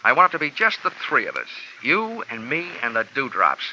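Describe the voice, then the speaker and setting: A man's voice: medium pitch, wildly swooping pitch, brisk, heavy background noise. An actor playing a hard-boiled male insurance investigator, narrating and talking in a terse, sardonic, wisecracking way for a 1940s radio drama. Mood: dry. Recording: dull old radio broadcast with music.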